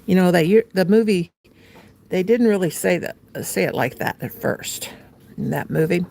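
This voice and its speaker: Gravelly, lively older American woman. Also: on the low side, normal speed. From United States. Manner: playful and silly, laughs often, talks to herself. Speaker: livestream host. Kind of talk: casual livestream chat while crocheting.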